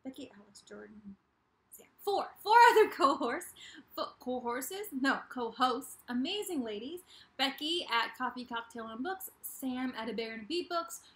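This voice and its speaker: A young woman hosting a casual vlog to camera, talking in a bubbly, playful, animated way in a small room. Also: high voice, moderate pace. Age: young adult. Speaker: YouTube host of a book channel. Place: small bedroom indoors. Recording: echoey untreated room.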